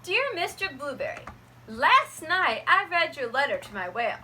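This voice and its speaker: A woman teacher reading a picture book aloud to her students, gentle and expressive. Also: high voice, normal speed, slight background noise.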